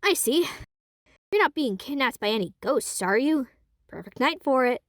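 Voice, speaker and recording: A woman's voice: high-pitched, expressive, normal speed, no room sound. Folksy teasing woodsman, read by a woman, dry close sound. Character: disheveled cheerful man lurking in woods.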